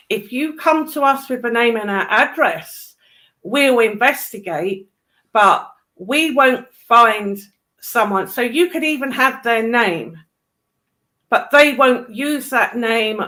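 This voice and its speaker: A woman talks in a casual discussion, explaining things point by point. Her voice sits a little high and her pace is unhurried and slow. She is emphatic, restating key phrases for stress. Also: pushed and bright.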